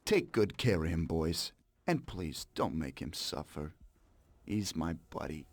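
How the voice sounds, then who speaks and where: A man's voice: rumbling low, animated, measured pace, full character voice. An actor playing a smug, cold-blooded mobster in a game cutscene, mocking and sardonic as he menaces an old partner. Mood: coldly sardonic. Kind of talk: dramatic video game cutscene acting.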